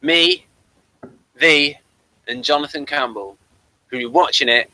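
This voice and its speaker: A man hosting a casual fan review chat, talking in an animated way and often restarting his phrases. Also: on the high side, a little slow, vocal fry, slight background noise, low-quality recording. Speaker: host of a fan review channel.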